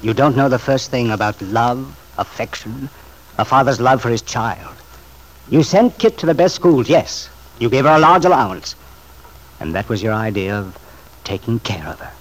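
An actor playing a principled bachelor uncle, earnest, measured and reproachful, in an old-time radio drama. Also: male voice, mid-range voice, animated, slight background noise, lightly acted, poor audio. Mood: accusing.

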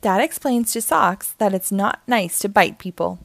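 A woman reading a children's story aloud in an expressive, deliberate narrating style. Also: mid-range voice, gravelly, no room sound. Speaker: storybook reader.

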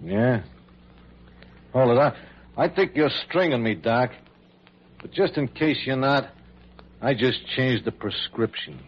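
Husky, cocky radio-drama crook. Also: male voice, medium pitch, lively intonation, slow, pauses often. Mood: suspicious, cocky. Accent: American. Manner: slangy, sneering tough-guy talk. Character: cocky uneducated gunman, a defiant adult male crook. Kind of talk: 1940s-50s American radio drama acting. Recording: husky-sounding, dull old radio recording.

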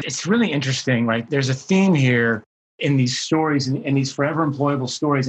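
A man's voice: mid-range voice, a bit bright, breathy edge. A man hosting an interview, reflecting on his guest's story in a conversational style. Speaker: interviewer, host.

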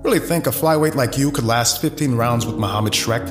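An arrogant, scheming tycoon acted by a man in an audio drama. The mid-pitched voice is pompous and menacing, with a roomy echo over music. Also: fairly quick, close to an everyday voice. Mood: scornful. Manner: pompous, smooth-talking.

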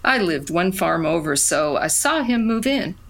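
An actress playing a plainspoken middle-aged country woman, wistfully reminiscing in an audio drama with pauses. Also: fairly low pitch, very animated, vocal fry, exaggerated voice.

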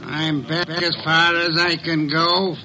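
An actor in a classic radio drama playing a pompous, grumbling, imperious detective with a gravelly voice and precise, orotund diction. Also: male voice, on the high side, flat delivery, slow, sharp tone, exaggerated voice. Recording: noisy old radio show recording.

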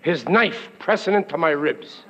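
A man's voice: fairly high pitch, animated, a little slow, fairly bright tone, exaggerated voice. An actor playing a blustering, boastful working-class family man in 1940s radio comedy, stammering when flustered. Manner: bragging, ungrammatical, comically exasperated. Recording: dull, noisy old radio recording.

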